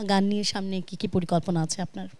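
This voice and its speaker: A woman's voice: mid-range voice, steady tone, quick pace, darkish tone, no room sound. A woman with a Bangladeshi accent hosting a music talk show as its television presenter, recorded in a studio. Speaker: television host.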